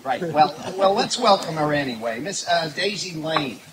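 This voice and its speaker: Flustered male radio comedy host, played by an actor, high voice, hesitant stammer, noisy live crowd recording. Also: expressive, normal speed, close to an everyday voice. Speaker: host of live variety show. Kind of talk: old-time radio comedy acting. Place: outdoor night stage in the woods. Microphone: stand microphone, filmed from afar. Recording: noisy live outdoor stage recording, audience.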